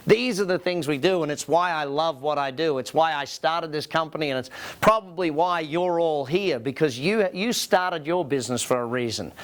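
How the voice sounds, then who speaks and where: Male business coach, Australian, voice on the higher side, emphatic seminar presenter who works the audience with questions. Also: normal speed, fairly bright tone. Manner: emphatic, rhetorical questions to listeners.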